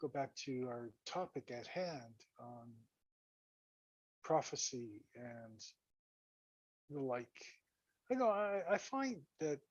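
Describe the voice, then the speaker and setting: A husky, hoarse man hosts a relaxed online chat, stumbling and repeating words as he goes. His voice sits at a middling pitch and comes through a dull, muffled call line. The sound is close and dry, with no room around it. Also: dry recording. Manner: halting, repeats and restarts words. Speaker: host. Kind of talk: casual talk-show chat reading viewer comments.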